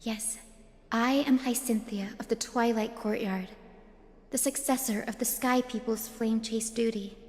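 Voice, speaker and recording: An actress playing a gentle, earnest young woman in a video game, speaking softly and politely. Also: fairly high pitch, steady tone, measured pace, long reverb tail, close to an everyday voice. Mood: solemn, reverent.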